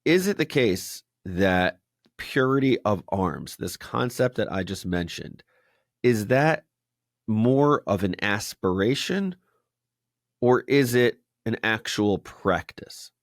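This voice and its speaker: A man with a low voice asks an interview question slowly and carefully, pausing to find his words. The sound is dry and close. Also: expressive, no room sound. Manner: measured, searching for words. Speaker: interviewer host.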